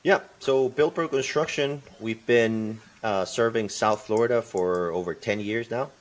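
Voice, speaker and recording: A man from Peru, answering interview questions as a podcast guest in a thoughtful way, pausing and restarting often. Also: lowish voice. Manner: thoughtful, many fillers and restarts. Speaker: podcast guest, construction company partner.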